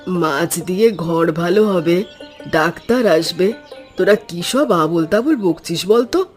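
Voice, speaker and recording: A woman playing a poor, ailing, skeptical village mother in an animated children's story, fretful and chiding. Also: fairly low pitch, a little slow, soft background music.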